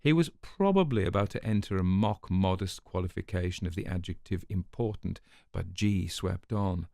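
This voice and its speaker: A man reading a scripted spy story as a storyteller, in a wry, dryly mocking and measured manner. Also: low voice, gravelly, darkish tone, no echo, clean studio sound. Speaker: storyteller narrating a spy tale. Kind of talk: dramatic scripted narration.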